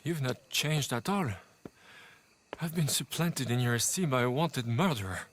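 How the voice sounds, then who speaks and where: An actor as a wounded male idealist in a game cutscene. His medium-pitched voice is formal and solemn, full of histrionic reproach. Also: moderate pace, a bit bright, slight background noise, lightly acted. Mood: betrayed. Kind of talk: video game cutscene acting.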